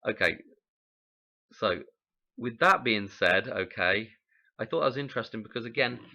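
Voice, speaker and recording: A man, croaky from a cold, rambling through a casual explanation and often checking in with "okay?". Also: on the low side, animated, moderate pace, bright, forceful tone, muffled recording. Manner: rambling, musing, frequent "okay?" check-ins. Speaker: channel host explaining number codes.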